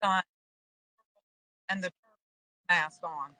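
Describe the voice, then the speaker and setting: Bright, forceful middle-aged woman on a call. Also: fairly low pitch, fairly even intonation, very slow, dry recording, rough recording. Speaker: mother on a jail video call. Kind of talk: casual personal phone conversation. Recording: jail video call audio.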